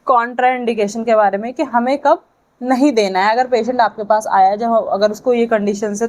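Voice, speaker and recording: A woman with an Indian accent giving a lecture to students, speaking in short halting phrases and checking in with her listeners. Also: on the high side, a little mellow. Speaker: physiotherapy lecturer.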